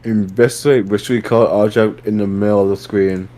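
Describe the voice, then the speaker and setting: A man's voice: fairly low pitch, fairly even intonation, fairly quick, mellow. A man reading out short command prompts in a group dramatic reading.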